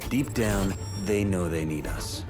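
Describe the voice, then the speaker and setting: A low-voiced brooding cop gone vigilante, in a video game cutscene. He speaks solemnly and deliberately, with cold menace, while loud music plays behind him. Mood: grim, bitter. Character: vengeful, brooding male cop turned ruthless vigilante. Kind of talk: dramatic scripted game cutscene monologue. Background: loud cinematic music.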